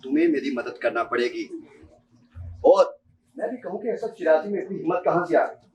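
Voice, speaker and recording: A man's voice: fairly high pitch, animated, moderate pace, a little mellow, lightly acted. A young man student acting a bitter, insistent blackmailer who threatens, in an amateur stage drama. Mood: menacing. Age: young adult. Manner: insistent, threatening, repeats himself. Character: menacing, bitter blackmailer demanding his job back. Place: university seminar hall stage. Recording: distant microphone on stage.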